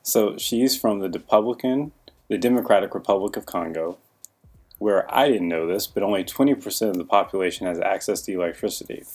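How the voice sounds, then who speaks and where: A man's voice: sits low, brisk, darkish tone, husky. A man hosting a podcast, introducing the show and joking with his co-host in a casual way. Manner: jokey, prompts his co-host.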